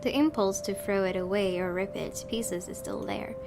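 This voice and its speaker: Woman, casual let's-play narration, expressive, reading aloud, guitar game music behind. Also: medium pitch, normal speed, gravelly. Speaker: gaming YouTuber reading a visual novel aloud.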